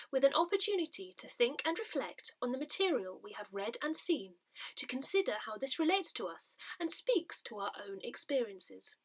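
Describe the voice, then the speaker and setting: A woman reading a parish newsletter aloud in a soft, breathy, measured way. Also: on the high side. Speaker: newsletter reader.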